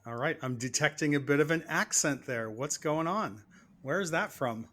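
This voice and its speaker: A man's voice: fairly high pitch, moderate pace, sharp tone. A man hosting a podcast interview, asking questions in a friendly, informal way. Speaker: podcast host. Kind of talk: casual interview.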